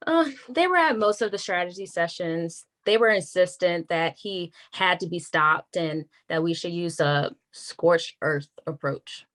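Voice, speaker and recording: Woman acting as a wary lady prosecutor in a drama read, kinda low voice, measured n confiding. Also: fairly bright tone. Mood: guarded, confiding. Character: wary, frank female assistant US attorney. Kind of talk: naturalistic script table read.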